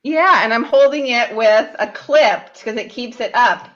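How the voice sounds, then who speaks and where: A woman's voice: on the high side, unhurried, fairly bright tone. A woman doing a casual show-and-tell as a participant on a video call, speaking into a computer microphone. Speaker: parent showing shadow experiments with a child. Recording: dull, lo-fi video call audio.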